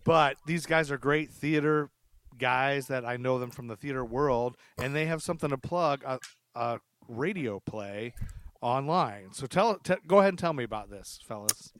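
A man's voice: medium pitch, moderate pace, fairly bright tone, clean tone, no echo. A middle-aged man hosting a casual podcast chat, asking rambling, curious questions in an informal way. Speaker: podcast host. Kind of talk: casual chat interviewing old friends.

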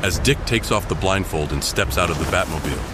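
A man narrating a scripted audio drama in a measured, dramatic storytelling manner. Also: low voice, heavy background noise. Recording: loud music behind.

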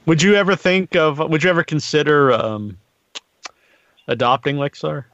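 A middle-aged man hosts a casual podcast with a rambling, halting delivery and a crude, joking streak. The sound is very dry and a bit muffled, like a lo-fi online stream. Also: a little high, expressive, fairly bright tone, no echo. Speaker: podcast host.

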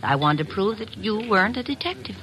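A woman's voice: mid-range voice, big pitch swings, fairly quick, fairly bright tone. A woman playing a coy, teasing young femme fatale in a faintly noisy old radio drama. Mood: coy, apologetic. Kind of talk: 1950s radio drama acting.

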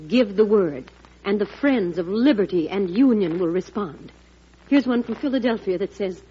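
A president's steadfast wife in a vintage radio play. The voice is strongly husky and fairly low, always supportive. The recording is old and band-limited. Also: female voice, darkish tone, dull audio. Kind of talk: old-time radio drama acting.

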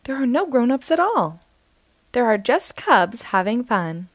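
Lively woman reading a kids' book. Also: fairly high pitch, no echo. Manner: slow, expressive, sing-song reading. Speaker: storytime host reading aloud. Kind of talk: children's picture book read-aloud.

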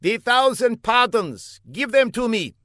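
A man's voice: very high-pitched, animated, measured pace, a bit bright, no room sound, voice pushed up, exaggerated voice. A man voicing a pompous, demanding older bearded secret agent who bellows in stilted, broken English.

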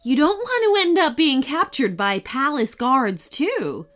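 A woman's voice: high-pitched, big pitch swings, a little slow, fairly bright tone, soft background music, voice pushed up, exaggerated voice. A woman voicing a curious cyclops in a storybook, probing and cautioning.

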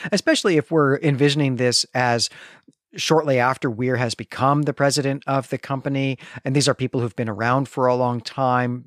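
A man's voice: medium pitch, fairly bright tone, dry recording, studio quality. A man co-hosting a literary podcast, speaking conversationally and explaining his thinking as he reasons aloud. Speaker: podcast co-host.

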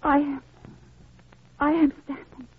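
A woman's voice: high-pitched, flat delivery, takes it slowly, slight background noise. An actress playing a fragile young woman patient in an old radio drama, tearful and melodramatic. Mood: tearful despair. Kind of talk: 1940s-50s radio drama acting. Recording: dull old radio recording.

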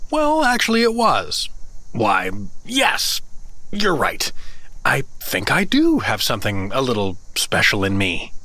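A man's voice: medium pitch, huge pitch swings, measured pace, sharp tone, slight background noise, full character voice. A man playing an affable, flustered suburban husband stranded in a fantasy world, talking in a casual, jokey, hesitant way in a comedic audio drama. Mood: smug, flustered.

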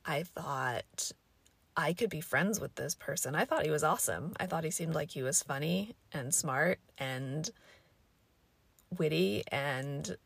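A young adult woman with a raspy, scratchy voice from allergies, hosting a casual solo podcast in a thoughtful, rambling way with many fillers. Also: deep voice, unhurried, a bit bright, no room sound. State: hoarse from allergies. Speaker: podcast host.

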